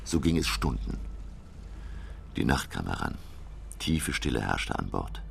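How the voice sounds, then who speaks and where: An actor narrating a radio play as a grave professor recounting a sea adventure, solemn and suspenseful. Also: male voice, rumbling low, sing-song, measured pace, gravelly, a little hiss or hum, full character voice.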